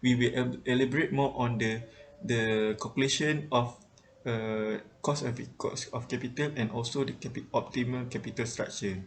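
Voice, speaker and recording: A man in his twenties with a Malaysian accent giving a student presentation haltingly, repeating words as he goes. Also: medium pitch, fairly even intonation. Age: 20s. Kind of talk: group project presentation. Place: small plain indoor room. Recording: clear background noise, room ambience.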